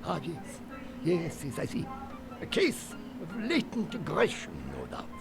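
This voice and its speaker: Game character: quack psychiatrist, slow, gravelly and halting, swooping wildly, music behind. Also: mid-range voice, wildly swooping pitch, heavily acted. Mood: soothing, clinical. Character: quack psychiatrist, soothing yet jumpy and eccentric. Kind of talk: adventure game voice acting.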